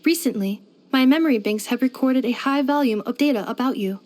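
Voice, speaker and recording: Actress as female robot helper for a game: high voice, formal, precise, literal-minded, unfailingly polite. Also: a little fast, darkish tone, lightly acted. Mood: earnest, curious. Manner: formal, precise, clipped system-report phrasing. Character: polite, helpful female robot maid companion, earnest and literal. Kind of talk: scripted video game character voice lines.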